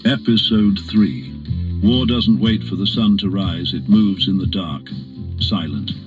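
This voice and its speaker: A man's voice: deep voice, unhurried. A man playing a grave, brooding narrator of a war drama trailer, speaking in terse, dramatic phrases. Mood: grave, ominous. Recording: dull lo-fi radio sound. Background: guitar music.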